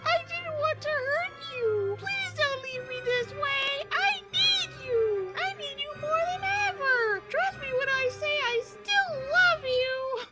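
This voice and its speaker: An actor voicing an emotional, pleading female cartoon bunny in a slow, melodramatic style, as in a dramatic comic dub. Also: very high voice, animated, full character voice. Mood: tearful pleading. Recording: long hall reverb with music behind.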